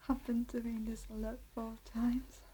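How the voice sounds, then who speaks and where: A woman's voice: fairly high pitch, flat delivery, a little slow, slight background noise, rough recording. Whispering Italian-accented young woman. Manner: soft whisper, hesitant with many fillers. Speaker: ASMR creator. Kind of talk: whispered ASMR talk.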